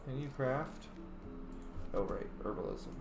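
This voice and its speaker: A young man playing a video game and roleplaying his character, excitable, sweary and playful. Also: medium pitch, measured pace, gravelly, reverberant, over music. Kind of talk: casual let's play commentary with in-character lines.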